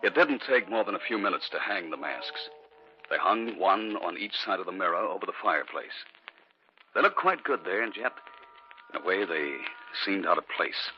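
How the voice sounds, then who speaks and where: An actor in an old radio drama playing a husband who narrates his eerie story in a measured way. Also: sits low, lively intonation. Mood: uneasy. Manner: measured first-person narration. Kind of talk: 1940s radio acting. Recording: muffled old radio recording with music.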